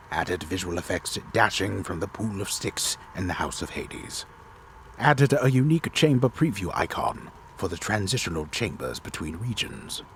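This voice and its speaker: Man performing a very deep, theatrical storyteller. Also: fairly bright tone, slight background noise, exaggerated voice. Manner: solemn, theatrical, deliberate announcing. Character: disembodied male storyteller, grave and theatrical. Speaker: game storyteller and narrator. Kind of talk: dramatic reading of game patch notes.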